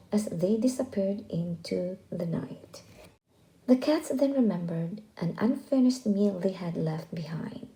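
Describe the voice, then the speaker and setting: Slow, very mellow woman reading a storybook. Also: mid-range voice, poor audio. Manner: expressive, deliberate. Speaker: children's storybook reader. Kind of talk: read-aloud scripted narration for young children.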